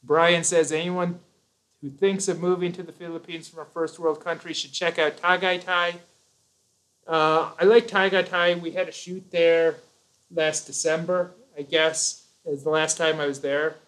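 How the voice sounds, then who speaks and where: A man's voice: fairly high pitch, flat delivery, unhurried, a bit bright, rough recording. Chatty man hosting a live stream. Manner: chatty, rambling, self-correcting, jokey. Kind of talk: casual live chat reading viewer comments.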